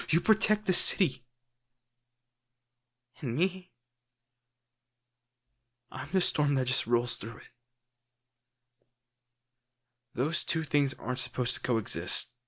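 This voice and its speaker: A man playing a lovesick, protective villain in an intimate, brooding audio roleplay, speaking earnestly with dramatic pauses. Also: mid-range voice, a bit bright, husky. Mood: anguished. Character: lovesick male villain, brooding, protective, torn over wounded hero.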